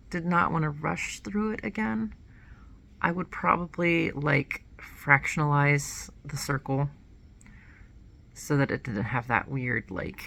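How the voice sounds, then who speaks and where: Crafter woman, thirties; low, gravelly, cold-nasal voice; rambling home vlog with faint room hum. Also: a bit bright. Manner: rambling and self-correcting, with light laughs. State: nasal, getting over a cold. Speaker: cross stitch vlogger. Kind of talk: casual chat to camera. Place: small indoor room at home.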